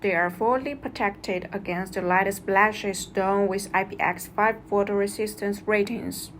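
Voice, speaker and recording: A woman's voice: medium pitch, fairly even intonation, measured pace, noisy background. A woman reads a scripted product review as a narrator, going through instructions step by step.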